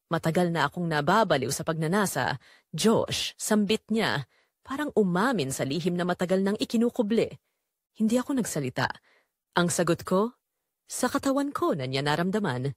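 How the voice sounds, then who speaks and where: Female Filipino narrator for an audio drama, lively pitch movement, very dry recording. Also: dry recording. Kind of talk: scripted first-person dramatic narration.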